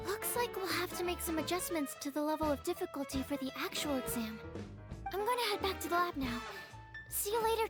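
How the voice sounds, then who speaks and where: Helpful young alchemist examiner for a game: very high, polite, reassuring, with clear music underneath. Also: female voice. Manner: encouraging, clear explanatory delivery. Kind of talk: video game voice acting.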